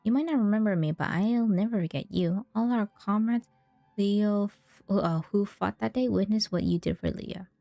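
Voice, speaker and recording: Woman reading as a mellow, grateful male guard. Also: medium pitch, normal speed, vocal fry, dry recording, soft background music, close to an everyday voice. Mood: admiring. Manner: friendly, grateful, read off screen with stumbles. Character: friendly, grateful male guard, modest lucky survivor. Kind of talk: reading game dialogue aloud.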